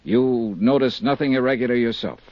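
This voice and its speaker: An actor playing a tough, persistent insurance investigator in a 1950s radio drama, with a clipped, direct, probing delivery. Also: male voice, mid-range voice, fairly quick, lightly acted. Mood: insistent. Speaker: lead detective and narrator. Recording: muffled 1950s radio recording.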